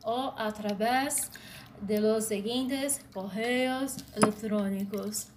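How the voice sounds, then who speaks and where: A Brazilian woman whose first language is Portuguese, reading her commentary aloud in short, deliberate phrases. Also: mid-range voice, very noisy. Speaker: blogger.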